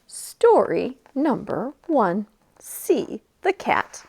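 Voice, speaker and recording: A woman's voice: on the high side, very animated, drawn-out words, darkish tone, husky. A woman presenting a children's library storytime, chatting and reading aloud in a playful, enthusiastic way.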